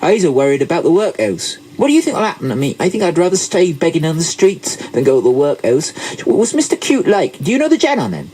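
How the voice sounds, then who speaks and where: Anxious Devon farmhand, storybook rustic, high and husky, earnest. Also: male voice, very animated, fast, dark tone, poor audio. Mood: worried, earnest. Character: Devon countryman, anxious maimed farm worker in London.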